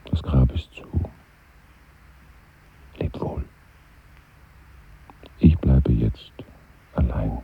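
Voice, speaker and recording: Very deep gravelly dead man. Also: extremely slow, long pauses, heavily acted. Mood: wistful, regretful. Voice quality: very deep, gravelly, husky, often fading into whisper. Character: dead middle-aged man speaking to mourners from his grave. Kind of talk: intimate 1970s radio play monologue. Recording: muffled, phone-like 1970s radio recording.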